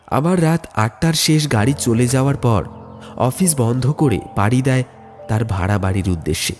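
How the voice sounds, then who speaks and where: A man's voice: mid-range voice, a little mellow. A man narrating a scripted ghost story in the first person, telling it in a dramatic, suspenseful way. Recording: music bed under the voice.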